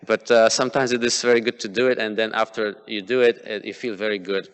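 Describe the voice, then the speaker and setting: A man whose first language is Polish gives a devotional talk in a temple hall, full of pauses, fillers and restarts. His voice sits a little low but sounds bright and crisply clear. A long hall reverb trails behind every phrase. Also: little pitch movement. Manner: hesitant, many fillers and restarts. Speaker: temple class speaker. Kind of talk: devotional class talk, personal examples.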